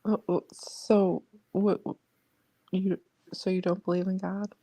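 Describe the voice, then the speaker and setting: A woman caller on a radio phone-in asks questions haltingly, often repeating and restarting her words. Her voice sounds dry, with no room around it. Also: medium pitch, level tone, dark tone, no echo. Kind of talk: casual call-in radio chat.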